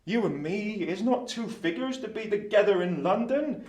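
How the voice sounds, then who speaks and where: A man's voice: high-pitched, lively intonation, normal speed, a little mellow. A man acting a humble, kind-hearted country blacksmith in a radio play, earnest and homely in his turns of phrase. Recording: roomy echo, distant from mic.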